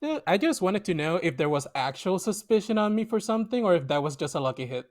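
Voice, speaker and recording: A man's voice: high voice, big pitch swings. A man from Puerto Rico with a hard-to-place Puerto Rican accent, chatting casually as a game player. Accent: mixed Puerto Rican. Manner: talks fast and self-deprecating about his accent.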